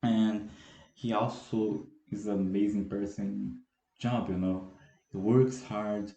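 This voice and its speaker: An eighteen-year-old man from Brazil with a Brazilian Portuguese accent, speaking English as a second language. He hosts a casual solo podcast and talks in a halting, self-deprecating way, often restarting his words. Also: fairly low pitch, fairly even intonation, dark tone. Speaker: podcast host. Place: room at home, dogs barking outside. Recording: echoey room.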